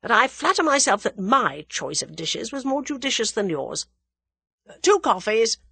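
A woman voicing a sensible, wry young English man in a dramatised audiobook, with a measured, sceptical manner. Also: fairly high pitch, very animated, vocal fry, bright, forceful tone, slightly rough, no echo, pitched above the performer's own voice, full character voice. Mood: teasing. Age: young adult.

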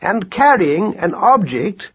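A man's voice: high voice, big pitch swings, slow, slightly rough, lo-fi sound, pitched above the performer's own voice, heavily acted. A man voicing a learned, gloomy male mouse who talks in a pompous, wordy, formal way.

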